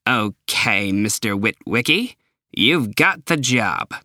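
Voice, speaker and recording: A man voicing a smug, cold-eyed male company boss in a storybook reading, icily polite with sudden angry shouts. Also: on the low side, lively intonation, takes it slowly, no room sound, clean studio sound, full character voice. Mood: coldly smug. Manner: icy politeness breaking into shouting.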